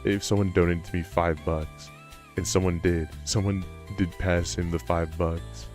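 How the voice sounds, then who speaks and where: A man with a low voice chatting casually on a live stream, rambling and self-deprecating. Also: flat delivery, measured pace, darkish tone. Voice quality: low-pitched voice. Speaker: livestreamer. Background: background music.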